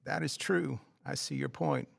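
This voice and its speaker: An actor playing a reluctant, fussy, rule-minded tour company boss in an audio drama, with a skeptical, formal manner. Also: male voice, medium pitch, a little slow, creaky, no echo, studio quality.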